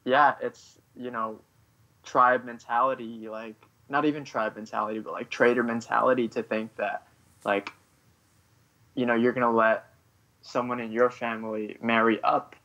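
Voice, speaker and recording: A man originally from Vietnam, a guest writer on a podcast interview, talking haltingly and leaning on "you know" between thoughts. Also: mid-range voice, little pitch movement, pushed and bright, poor audio.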